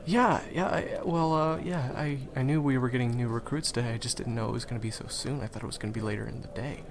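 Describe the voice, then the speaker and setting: A man's voice: medium pitch, fast, creaky, noisy background, lightly acted. A man acting in an audio roleplay as a friendly, awkward, eager young guide to monster hunters, talking hesitantly and stammering to the listener. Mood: flustered, friendly.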